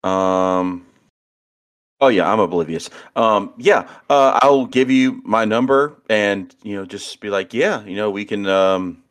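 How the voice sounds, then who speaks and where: A man's voice: on the low side, measured pace, pitched below the performer's own voice, close to an everyday voice. A man voicing a laid-back, oblivious young man at a party, talking in an easygoing drawl.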